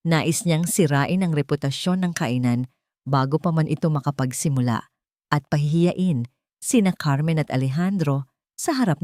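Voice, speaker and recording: A woman narrates a scripted dramatic story in a measured, earnest manner. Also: low voice, darkish tone, no room sound, clean studio sound.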